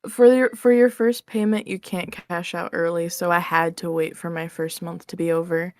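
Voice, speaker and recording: Woman with a lowish voice, chatting casually. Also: steady tone, normal speed, a little mellow, no echo, clean studio sound. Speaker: podcast guest, ASMR roleplay creator. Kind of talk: casual chat, answering interview questions.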